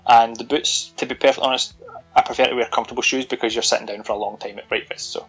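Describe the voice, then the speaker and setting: A man's voice: mid-range voice, steady tone, fast, a bit bright, husky, faint music behind, rough recording. A man co-hosting a podcast, rambling and self-deprecating, laughing often as he chats. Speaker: podcast co-host. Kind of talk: casual chat.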